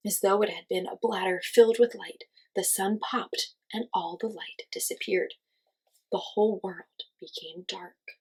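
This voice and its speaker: A woman reading a children's story aloud as host, in an expressive storytelling style. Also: medium pitch, measured pace, husky, poor audio.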